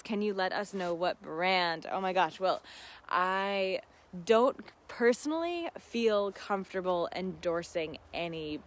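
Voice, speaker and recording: An American woman from Ohio hosting a casual live gardening Q&A, answering viewers in an effusive, exclamatory, giggly manner. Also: on the low side. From Ohio, United States. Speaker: host of a live gardening Q&A, seed company owner.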